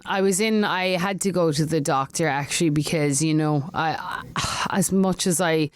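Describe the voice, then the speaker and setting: A woman's voice: deep voice, moderate pace, dry recording. An Irish woman, a singer-songwriter, talking casually as an interview guest, chatty with frequent laughs and restarts. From Ireland.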